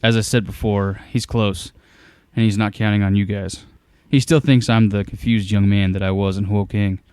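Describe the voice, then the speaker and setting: Man as audio-drama inventor hero: earnest strategist, smooth lowish voice, dry close sound. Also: level tone, normal speed, darkish tone, no room sound, natural voice. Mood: resolute, commanding. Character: determined, clever inventor hero planning a battle. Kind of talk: scripted audio drama acting.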